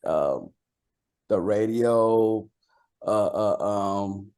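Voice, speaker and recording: A man speaking as a rapper being interviewed, chatting casually in a slangy, halting way. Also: lowish voice, animated, a little mellow. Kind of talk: casual chat.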